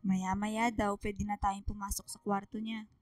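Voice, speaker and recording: A woman's voice: mid-range voice, little pitch movement, a little slow, darkish tone, no room sound, clean studio sound, close to an everyday voice. A woman playing a worried, caring middle-aged mother with a Filipino accent in a radio drama, grave and emotional.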